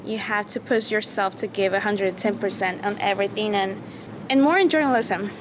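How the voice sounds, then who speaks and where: A woman's voice: mid-range voice, moderate pace, background noise. Young woman with a Mexican Spanish accent. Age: young adult. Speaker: student interviewee. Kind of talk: interview answers.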